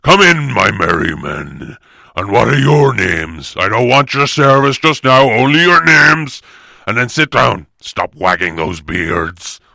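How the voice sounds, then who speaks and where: A man voicing a huge, gruff, suspicious bear-man in a storybook reading, with a slow, growling, commanding delivery. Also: medium pitch, very animated, sharp tone, dry recording, clean studio sound, exaggerated voice. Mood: amused, commanding. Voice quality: gravelly, growling. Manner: slow, heavy, commanding, blunt.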